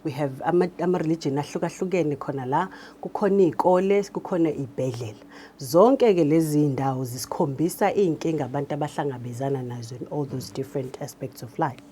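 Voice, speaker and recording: Low, mellow South African woman explaining. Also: fast talker, a little hiss or hum. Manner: explanatory, switches between Zulu and English. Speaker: radio drama director. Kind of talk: interview talk.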